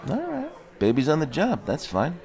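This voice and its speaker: A man's voice: fairly high pitch, wildly swooping pitch, moderate pace, darkish tone. A man playing an evasive, nervy boyfriend dodging his debts in an audio drama. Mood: teasing, casual. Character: evasive, nervy deadbeat boyfriend on the run from debt.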